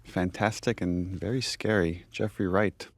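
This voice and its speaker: A man with a low-pitched voice talks through behind-the-scenes commentary in the role of a director. He explains things in a reflective, unscripted way. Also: fairly even intonation, measured pace, slight background noise. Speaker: game director giving commentary.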